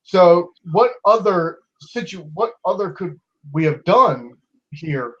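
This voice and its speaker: A man's voice: high-pitched, animated, slow pace, a bit bright, lo-fi sound. A man in his twenties debating online in a casual way, with many restarts and fillers as he argues. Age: 20s.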